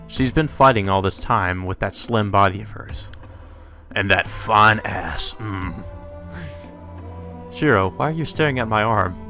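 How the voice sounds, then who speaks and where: A man's voice: medium pitch, pushed and bright. A man doing a let's play, reading a visual novel aloud and breaking off into casual, sweary, incredulous commentary. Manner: irreverent, sweary, reacting incredulously to the script.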